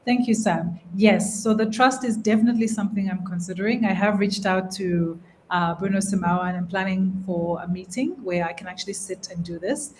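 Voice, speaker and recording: A woman from Uganda explains her rental business to a panel in a calm, measured voice. She speaks at an ordinary pitch and keeps the tone businesslike and orderly. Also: slight background noise. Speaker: presenting investor. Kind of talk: live panel presentation.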